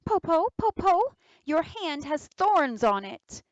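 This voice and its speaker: A clever young girl, the eldest sister as a woman storyteller plays her, speaks in a very high, swooping voice. She is wary and questioning, with polite craftiness suited to a storybook heroine. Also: very animated, slow pace, dry recording, dull audio, exaggerated voice. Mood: suspicious.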